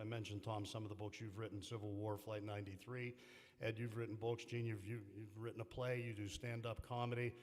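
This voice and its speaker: A man moderating a panel, asking long, rambling questions with restarts. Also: lowish voice. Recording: hall reverb from a lecture room.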